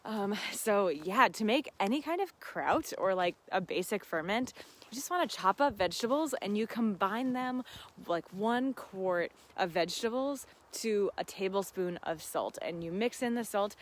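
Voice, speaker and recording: A woman's voice: mid-range voice, lively intonation, normal speed, a little hiss or hum. A woman hosting a casual live question-and-answer stream, talking to viewers in an effusive, gushing, giggly manner. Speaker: host of a live seed-farm Q and A. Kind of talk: casual livestream chat with viewers.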